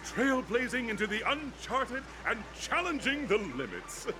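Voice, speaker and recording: Flamboyant festival host, wildly swooping voice. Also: male voice, very high-pitched, sing-song, a little slow, heavily acted, low-quality recording. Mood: exuberant. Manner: grandiose, effusive showman. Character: flamboyant male festival host and drink tycoon. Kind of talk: theatrical game-show announcing.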